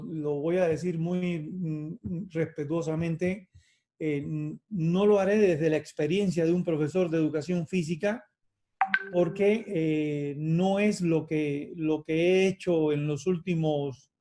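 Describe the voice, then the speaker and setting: A man in his fifties gives a measured academic talk on his field. His voice sits a bit high yet sounds mellow and soft, and he pauses often, restarting phrases with frequent fillers. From Cuba. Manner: hesitant, many fillers and restarts; measured and reflective. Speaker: university lecturer in physical education.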